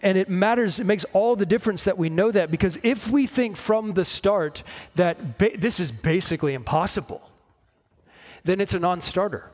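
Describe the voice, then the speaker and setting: Slightly high-voiced male preacher, measured phrasing. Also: a little mellow. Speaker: pastor preaching. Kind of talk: sermon. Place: church stage at a lectern, indoors.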